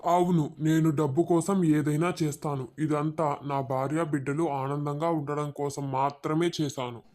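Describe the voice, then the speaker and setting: Man playing a ruthless robber, higher-pitched male voice. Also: fairly even intonation, normal speed, a little mellow, natural voice. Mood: defiant. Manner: menacing, demanding. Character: ruthless male forest robber, gruff family man at home. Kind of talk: Telugu moral-story cartoon dialogue.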